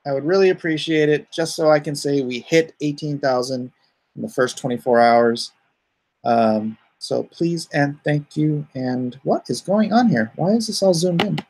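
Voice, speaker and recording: A man hosting a livestream in a casual, chatty way, informal and given to excited exclamations, often halting as he goes. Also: fairly high pitch. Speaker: livestream host and comic artist. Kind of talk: casual livestream chat, reacting and thanking viewers.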